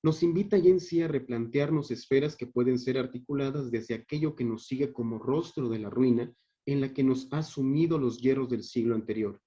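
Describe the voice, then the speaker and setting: A man's voice: medium pitch, brisk, dark tone. A man with a northern Mexican accent reading an academic paper aloud in a formal, literary manner, as a conference speaker. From Monterrey, Mexico. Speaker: conference speaker, theatre director. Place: video call. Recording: dull online call audio.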